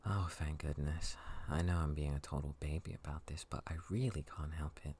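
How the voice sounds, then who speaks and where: A man's voice: very deep voice, a little fast, darkish tone, a little hiss or hum. A man playing a skittish, clingy young guy who whimpers and stammers affectionately in an intimate ASMR roleplay. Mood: nervous, playful. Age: young adult. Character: skittish, clingy young male best friend, affectionate scaredy-cat.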